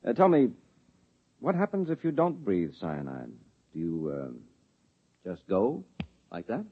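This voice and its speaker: A man's voice: lowish voice, huge pitch swings, slow pace, breathy edge, exaggerated voice. A man playing a curious, wry male government official in a 1950s radio drama, asking probing questions. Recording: thin tinny 1950s radio broadcast.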